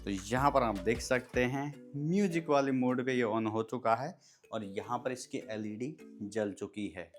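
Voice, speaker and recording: A man's voice: medium pitch, unhurried, soft background music. A man giving a casual, step-by-step product review, addressing viewers as friends. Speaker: product reviewer and video host.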